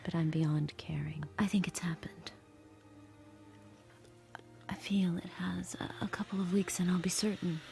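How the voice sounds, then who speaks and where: An anxious, secretive young woman in an intimate audio drama. She murmurs slowly and haltingly, with a hushed voice that creaks at the edges. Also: lowish voice, steady tone, soft background music. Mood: fearful, resolute. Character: secretive, anxious young woman living under oppressive rule.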